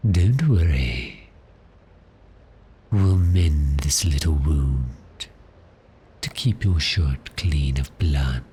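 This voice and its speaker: A man voicing a suave, teasing vampire in an ASMR roleplay, speaking in a breathy, seductive half-whisper with polite menace. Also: very deep voice, expressive, extremely slow, pauses often, dark tone, slight background noise, full character voice. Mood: soothing, commanding. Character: cheerful predatory male vampire, suave and teasing. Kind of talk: ASMR roleplay, slow intimate monologue.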